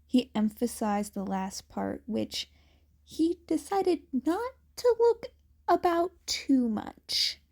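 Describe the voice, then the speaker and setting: A woman's voice: high-pitched, expressive, takes it slowly, a little mellow. A woman reading scripted fan fiction narration.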